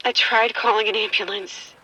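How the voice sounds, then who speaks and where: An actress playing a frightened woman who has been bitten and is falling ill, speaking in shaken, halting bursts in an audio drama. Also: medium pitch, fairly even intonation, bright, forceful tone, no room sound, low-quality recording. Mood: sick, fading. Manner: shaken, halting, breathy fear.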